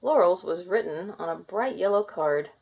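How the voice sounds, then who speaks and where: A woman reading a children's storybook aloud in expressive narration for kids. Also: fairly low pitch, moderate pace, creaky.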